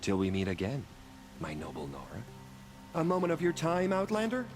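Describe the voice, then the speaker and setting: An actor playing a romantic merchant of wild flowers in a video game, speaking in florid, theatrical, gallant phrases. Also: male voice, a little high, huge pitch swings, fairly quick, over music. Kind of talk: video game character dialogue.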